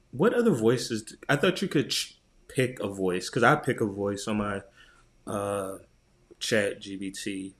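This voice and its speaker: Man, low voice, podcast co-host, informal and slangy, thinking aloud, casual tech chat.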